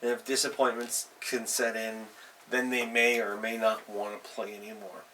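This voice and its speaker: A man with a bright, forward voice of medium pitch talks as a show host giving informal advice. He addresses listeners directly and leans on key words in an unscripted way. The mic sits at some distance, with faint noise behind him. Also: level tone, moderate pace. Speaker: host of a tabletop role-playing advice show. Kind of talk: casual unscripted advice talk.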